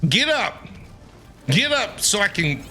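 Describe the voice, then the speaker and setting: A male streamer reacting to a game in a high, bright voice. He is playful and theatrical, talking back to the characters with big swings in pitch, while music plays faintly behind him. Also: very animated, takes it slowly. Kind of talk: gameplay reaction commentary.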